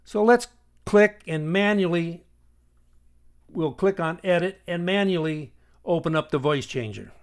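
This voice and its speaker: A man with a slightly high voice presents a software tutorial. He explains each step in a measured, instructional way, as screencast narration.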